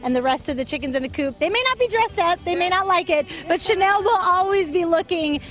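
A woman's voice: very high-pitched, expressive, brisk, a bit bright, soft background music. An American woman in her thirties, a chatty TV field reporter doing a live morning interview outdoors on a handheld microphone. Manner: chatty, conversational. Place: outdoors in a dry hillside yard.

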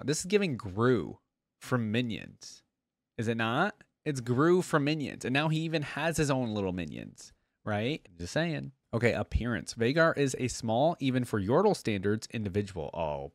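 A man's voice: medium pitch, no room sound. A man hosting a reaction video, reading aloud and breaking off for casual, exclaiming asides.

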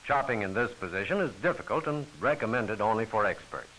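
A man's voice: on the low side, animated, brisk, fairly bright tone, slight background noise, poor audio. A man giving formal, instructional scripted narration for a training film, on an old film soundtrack.

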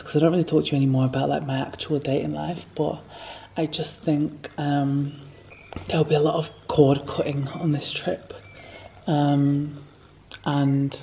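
A young woman chatting to a handheld camera in a room, rambling and lively as she talks. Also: low-pitched, vocal fry, slight background noise, low-quality recording. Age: young adult. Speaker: vlogger. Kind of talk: casual chat to camera. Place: indoor room with bookshelves at a retreat. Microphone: handheld camera at arm's length.

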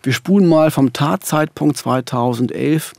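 A man's voice: fairly high pitch, a little slow, vocal fry, a little mellow, no echo. A man co-hosting a true-crime podcast, telling the story at a close studio microphone. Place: small radio studio.

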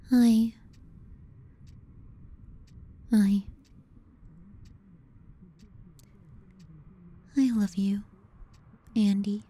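A woman playing a possessive, menacing younger sister in an intimate ASMR roleplay, soft and breathy, often near a whisper. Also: medium pitch. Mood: soft, affectionate. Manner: slow, tender yet threatening. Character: possessive, menacing little sister murmuring to sleeping brother.

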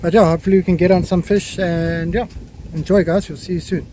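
A man's voice: sits high, quick pace, slight background noise. A South African man in his thirties, chatting casually as he films a fishing trip from a boat on a lake. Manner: relaxed, chatty, laughs easily. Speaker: fishing vlogger. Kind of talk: casual fishing vlog chat. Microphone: action camera on the boat.

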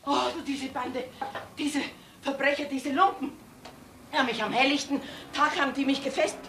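A high-voiced, indignant landlady. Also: steady tone, moderate pace, fairly bright tone, reverberant, slight background noise. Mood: distressed. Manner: plaintive, exclamatory. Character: indignant, lamenting landlady, easily outraged. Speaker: actress in a crime drama.

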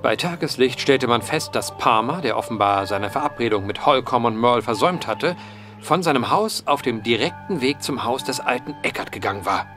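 An actor plays a solemn, courteous man who investigates the uncanny in a gothic audio drama. His mid-pitched voice rises and falls vividly as he explains in formal, deliberate phrases. Soft music sits underneath. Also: lively intonation, close to an everyday voice. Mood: grave narrating. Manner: formal, measured, old-fashioned phrasing. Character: earnest, methodical man investigating vanishings, polite storyteller. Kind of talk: period horror audio drama acting.

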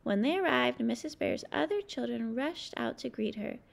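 Animated, high-voiced woman reading to children. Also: moderate pace. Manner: expressive, clearly addressed to young children. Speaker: children's book narrator. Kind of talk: read-aloud storybook narration.

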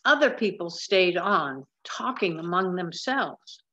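Older woman, seventies, storybook reader, slow deliberate delivery, emphatic pauses, slightly dull lo-fi recording. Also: fairly low pitch, animated, a bit bright, slight background noise. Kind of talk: reading a picture book aloud. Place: large room at home with wooden ceiling, indoors.

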